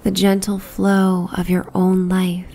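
Slow, hushed woman guiding sleep meditation. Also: on the low side, monotone. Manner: soothing, hushed and breathy, unhurried. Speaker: sleep meditation host and narrator. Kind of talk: guided sleep meditation narration. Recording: soft background ambience soundscape. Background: relaxing ambient soundscape.

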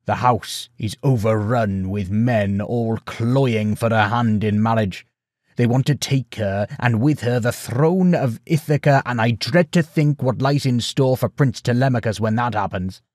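A man's voice: mid-range voice, unhurried, a little mellow, no room sound, studio quality, close to an everyday voice. A man voicing a huge, blunt, hearty pig farmer in a storybook, with rustic, emphatic phrasing. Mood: gruff, wry.

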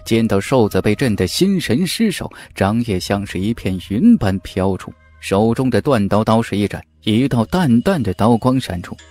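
A man narrating a fantasy novel audiobook in an animated, dramatic storytelling manner. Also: lowish voice, normal speed, few pauses.

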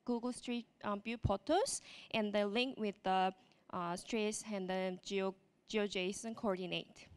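Korean woman giving a halting conference talk. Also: mid-range voice, clear, resonant tone. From South Korea. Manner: halting, many fillers and restarts. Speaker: conference speaker. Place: large conference stage. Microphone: handheld mic.

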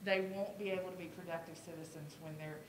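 Echoey middle-aged woman teacher, rumbling background. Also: steady tone, fast talker. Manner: explanatory, conversational. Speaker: elementary school teacher. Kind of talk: informal presentation to a school board. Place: school board meeting room. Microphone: podium microphone, speaking through a face mask. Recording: echoey room with clear low rumble.

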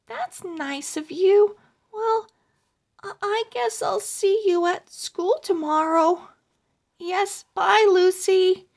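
Woman voicing an excitable, very high little girl owl. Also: full character voice. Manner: chirpy, exclamatory. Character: excitable, friendly young girl owl from a storybook.

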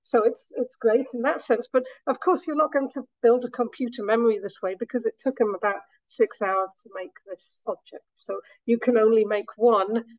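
A woman's voice: on the high side, steady tone, moderate pace, few pauses, a little mellow, no room sound, dull audio. A woman professor of materials science giving a lecture in a room, her voice a little scratchy after a week of talking, explaining with frequent restarts. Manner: explanatory, self-deprecating, many restarts. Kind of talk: academic lecture.

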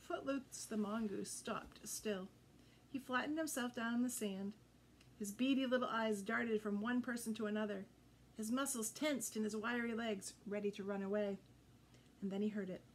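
A middle-aged woman storyteller with a fairly high voice. She reads a children's book expressively and deliberately, recorded indoors with noticeable background noise. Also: steady tone, poor audio. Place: indoor room with green curtain backdrop.

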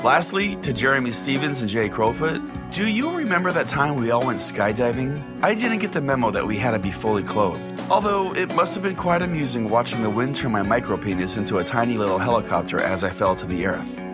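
A man's voice: mid-range voice, animated, a little fast, hardly any pauses. Male podcast host, mock-pompous, self-important, comedic call-in show, music playing behind.

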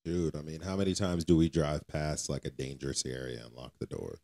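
A man with a deep, dark and relaxed voice, co-hosting a podcast. He talks informally and colloquially, with an earnest preacher's streak in casual conversation. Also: brisk, creaky. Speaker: podcast co-host. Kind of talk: casual faith podcast chat.

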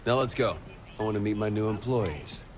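A man's voice: fairly low pitch, normal speed, music in the background, natural voice. An actor playing a blunt, dry-humored male gang boss. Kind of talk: video game cutscene. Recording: noisy game background.

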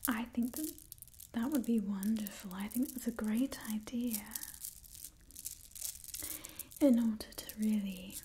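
Vampire clan matriarch for ASMR roleplay, played by a young woman: mellow breathy whisper, unhurried, imperious yet doting. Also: fairly high pitch, a little hiss or hum. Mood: coaxing, pleased. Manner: slow, deliberate, condescendingly affectionate. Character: aristocratic female vampire clan leader, coolly doting, imperious. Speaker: ASMR performer. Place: small indoor set with wooden wall. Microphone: close ASMR microphone.

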